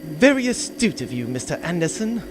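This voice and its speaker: Man playing a pompous gentleman villain, lively pitch. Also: moderate pace, noisy background. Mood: smug. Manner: pompous, florid, formal diction. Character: pompous gentlemanly villain bounty hunter, Sir Reginald Platypus. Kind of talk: comedic sci-fi audio drama acting.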